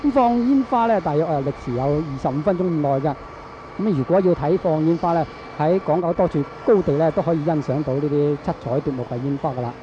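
A man with a Hong Kong accent giving live radio news commentary in a halting way, with frequent drawn-out fillers. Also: a little high, dark tone. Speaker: radio reporter. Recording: dull, noisy archival radio broadcast.

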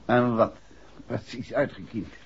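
An actor playing a calm, probing amateur detective in a 1960s radio drama, questioning briskly and with control. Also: male voice, medium pitch, slightly rough. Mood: composed, inquiring. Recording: muffled, noisy 1960s radio recording.

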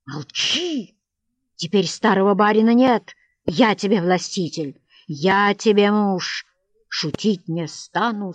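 A woman's voice: medium pitch, no room sound, dull audio. A woman voicing a coarse, boisterous middle-aged estate manager with hearty guffaws and blustering threats, in an audiobook reading.

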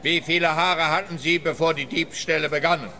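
Bright-voiced officious policeman. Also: a little high, steady tone, a little fast, faint music behind. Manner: formal, procedural. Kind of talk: radio play acting.

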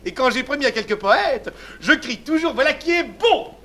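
A preening, self-important fop, boasting in a very high, bright, cutting voice. He performs classical stage comedy and wallows in his own brilliance. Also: expressive, moderate pace. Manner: pompous, affected, preening. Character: vain, foppish male nobleman, boastful poseur. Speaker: stage actor. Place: theater stage before a live audience.

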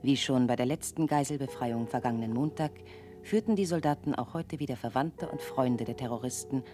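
Very deep-voiced, impassive newsreader. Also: female voice, a little fast. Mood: detached. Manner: detached, matter-of-fact. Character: impassive female newsreader of a grim future city. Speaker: actor as news announcer in a radio drama. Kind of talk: scripted news bulletin reading. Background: music.

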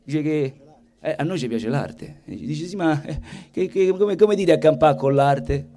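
A man's voice: a little high, animated, measured pace, dark tone. A man with a Roman accent delivering a theatrical, comic stage monologue in a colloquial, rhythmic storytelling way. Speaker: stage performer, singer. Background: plucked guitar music.

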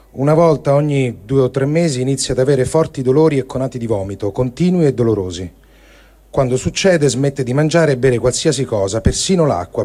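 Mellow-voiced man reading soberly. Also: mid-range voice. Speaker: reader of written testimony. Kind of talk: sober reading of a first-person war diary.

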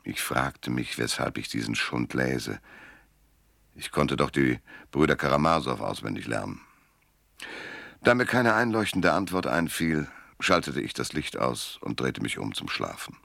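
A man's voice: rumbling low, very animated, unhurried, gravelly, fairly bright tone, no room sound, full character voice. An actor playing a world-weary male private detective in a German hardboiled radio drama, dry, laconic and self-mocking. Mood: wry, reflective.

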